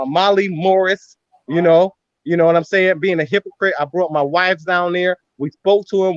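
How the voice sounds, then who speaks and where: A man's voice: sits high, dry recording. Heated man on a dull dry call. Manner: rambling, repeats "you know what I'm saying". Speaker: guest in a live stream. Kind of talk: heated, defensive argument.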